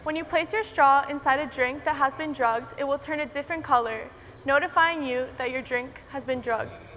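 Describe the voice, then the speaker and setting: Woman, 18, from Miami, high crisp voice, clear explainer, big hall. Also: normal speed, fairly bright tone, slight background noise. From Miami, United States. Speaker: student entrepreneur speaker. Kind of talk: prepared conference talk. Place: large conference hall stage. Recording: reverberant hall with faint ambience.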